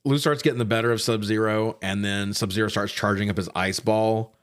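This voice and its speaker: A man's voice: on the low side, fairly even intonation, fairly quick, clean tone. Sweary, jokey man recapping a film. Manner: sweary, jokey, mimics characters' lines. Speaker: podcast co-host. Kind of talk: casual comedic film recap.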